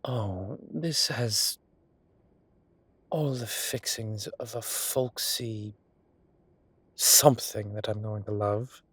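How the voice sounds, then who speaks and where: A man voicing an old, fatherly, whimsical boss, fond and gently insistent, with theatrical old-fashioned phrasing in an audio roleplay drama. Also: on the low side, slow, long pauses, husky, dry recording, heavily acted. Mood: amused.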